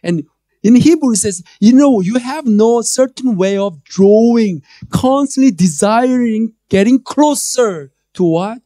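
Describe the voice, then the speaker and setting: A man's voice: very high voice, expressive, a little slow, mellow, no room sound. A man in his thirties with a Korean accent, preaching a sermon from a church stage into a handheld mic, joking at times and building to emphatic calls. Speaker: pastor. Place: church stage before a screen.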